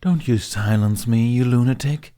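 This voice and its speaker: A man's voice: mid-range voice, normal speed, warm tone, lightly acted. A man voicing a grumpy, sarcastic, demanding male dragon in an audiobook, with imperious, drily bantering delivery. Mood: indignant. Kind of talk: audiobook character acting.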